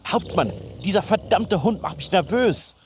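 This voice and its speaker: A man playing a gruff, hostile East German soldier in an audio drama, with a curt military manner. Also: high voice, lively intonation, normal speed, exaggerated voice. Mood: tense, curt. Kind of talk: cinematic audio roleplay acting. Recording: loud background noise and clicks.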